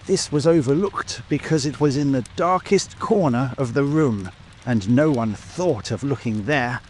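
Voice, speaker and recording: A man's voice: mid-range voice, measured pace, a little hiss or hum, close to an everyday voice. A man playing a small, pompous, self-satisfied Victorian police detective in a dramatic audiobook, triumphant in manner. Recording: steady rain ambience over voice. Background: rain.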